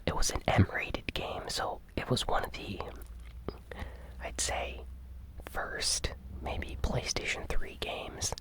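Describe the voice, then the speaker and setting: A host presenting an ASMR gaming news recap in a hushed, breathy whisper, explaining things informally and without hurry. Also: low-quality recording.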